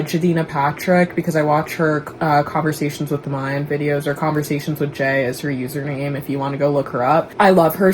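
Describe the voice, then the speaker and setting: A woman's voice: low voice, a little mellow, a little hiss or hum. A young woman from Dallas, Texas, whose first language is Igbo, chatting candidly and rambling to the camera in a casual vlog. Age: young adult. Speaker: vlogger.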